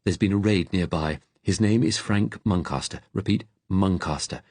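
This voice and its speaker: A man voicing a kindly, weary vicar in an audiobook, gentle but direct and firm. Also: fairly low pitch, normal speed, husky, dry recording. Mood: crisp, brisk. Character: kindly, weary male vicar, calm and firmly commanding.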